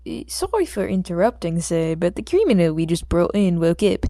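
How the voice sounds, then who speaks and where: A woman's voice: lowish voice, big pitch swings, normal speed, mellow, no echo, clean studio sound. A woman voicing an apologetic, urgent junior male police officer in an audiobook. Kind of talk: audiobook character dialogue.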